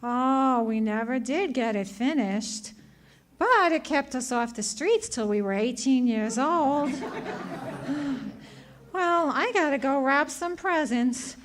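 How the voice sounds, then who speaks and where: Sweet, dim young radio-comedy ingenue played by a woman, very high voice, drawn-out guileless delivery. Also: lively intonation, a little hiss or hum. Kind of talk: 1940s radio comedy acting.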